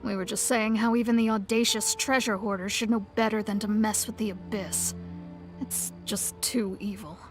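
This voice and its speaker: A woman's voice: mid-range voice, steady tone, moderate pace, close to an everyday voice. An actress playing a composed young woman speaking formally in a video game. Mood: explaining. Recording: game audio with music.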